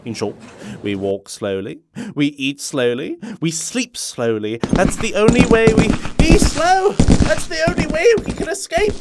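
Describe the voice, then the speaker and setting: A man plays a cartoon creature devoted to slowness, swinging between unhurried calm and frantic urgency. The pitch ranges very widely, with music behind. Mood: calm, deliberate.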